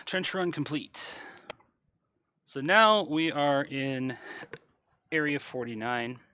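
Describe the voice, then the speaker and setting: A man's voice: fairly high pitch, expressive, slow, dull audio. A man giving casual live commentary on his own video game speedrun. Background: loud game music and vehicle sounds.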